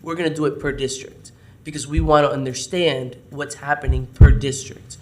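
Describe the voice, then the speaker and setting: A man, a parks department director, explains programs at a city meeting while hoarse and losing his voice. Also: a little high, moderate pace. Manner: explanatory, steady briefing. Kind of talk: briefing at a public meeting. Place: city council chamber.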